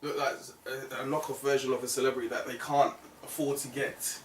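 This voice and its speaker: A male interviewer with a mid-pitched voice talks reflectively and shares his opinions in a casual, conversational way. He sounds set back from the microphone in an echoey room with faint background noise. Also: level tone, fairly quick. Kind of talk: conversational interview about music. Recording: roomy, distant mic, faint noise.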